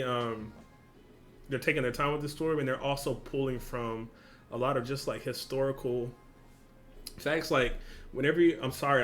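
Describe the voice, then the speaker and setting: A man reading a story aloud for a reaction video, often stumbling and correcting himself, with casual slang asides. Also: medium pitch.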